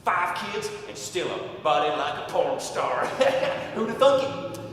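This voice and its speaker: A brash redneck who brags loudly and crudely and keeps breaking into cackles. His voice sits high. It sounds distant, with a long reverb in an echoey space. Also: very animated, slow, a little hiss or hum, exaggerated voice. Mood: boastful. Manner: loud, crude, back-slapping bragging with cackling laughs. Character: brash boastful Southern redneck businessman.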